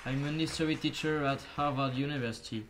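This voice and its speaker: A man's voice: medium pitch, fairly even intonation, fast, fairly bright tone, slight background noise, rough recording. A teenage boy playing a quiz contestant, answering with boastful confidence. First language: French. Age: teen. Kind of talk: school radio role-play.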